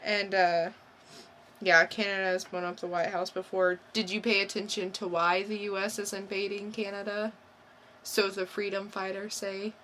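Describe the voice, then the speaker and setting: Female podcast co-host, bright and clear-cut, casual review chat with a questioning bent, faint background noise. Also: mid-range voice, little pitch movement, normal speed.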